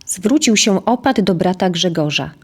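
A woman's voice: fairly low pitch, lively intonation, moderate pace, breathy edge. A woman narrating a scripted historical tale as the storyteller of an audio drama.

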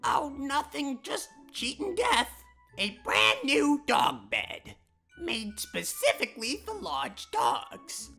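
Woman as a snarky, wildly animated cartoon cat. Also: a little high, slow, creaky, faint music behind, heavily acted. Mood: exasperated. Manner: pedantic, sarcastic. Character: snarky, know-it-all hairless cat, easily exasperated. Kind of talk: comic dub character acting.

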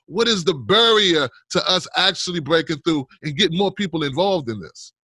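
A man's voice: fairly high pitch, lively intonation, moderate pace, pushed and bright, husky, no echo. Middle-aged male host, close dry sound. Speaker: show host, interviewer. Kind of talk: informal political interview. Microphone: desk studio microphone, close.

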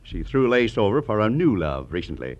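A man's voice: on the low side, very animated, brisk, darkish tone. An actor playing a brusque, worried and wealthy uncle, a commanding man in a 1950s radio detective drama. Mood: disapproving. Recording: muffled vintage radio recording.

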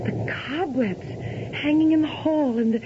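An actress playing a romantic, curious young daughter in a radio play. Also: female voice, high-pitched, normal speed, lightly acted. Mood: dreamy, curious. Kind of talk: 1970s radio drama acting. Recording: lo-fi noisy vintage radio recording.

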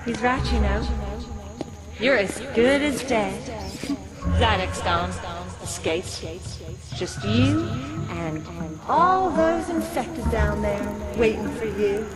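Slow, sinister, taunting temptress. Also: female voice, on the high side, animated, loud music behind the voice. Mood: cold taunting. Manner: slow, goading, menacing. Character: taunting, sinister woman luring a doomed soldier. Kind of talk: video game cutscene acting.

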